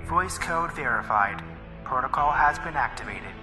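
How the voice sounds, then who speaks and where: A man voicing a ship computer that makes formal, flat announcements. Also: mid-range voice, normal speed, music in the background, close to an everyday voice, low-quality recording. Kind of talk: fan dub of a sci-fi anime parody.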